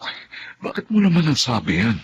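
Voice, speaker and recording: A man's voice: mid-range voice, wildly swooping pitch, a little fast, highs cut off, slight background noise. A man acting in a Filipino radio drama as a well-meaning, respectful young friend who questions and advises. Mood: concerned, questioning.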